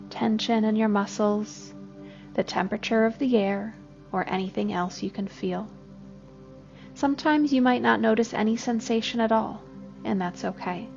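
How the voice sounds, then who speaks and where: Woman doing a gentle guided body scan, talks real slow, music playing behind her. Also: medium pitch, gravelly, muffled recording. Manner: gentle, unhurried instruction. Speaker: therapist guiding a meditation. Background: soft music.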